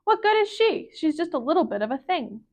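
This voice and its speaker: A high, emphatic voice for a female dog in a children's picture book, put on by a woman reading aloud. The dog is bossy and easily worried, grumbling and exclaiming. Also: big pitch swings, a little fast, a little mellow.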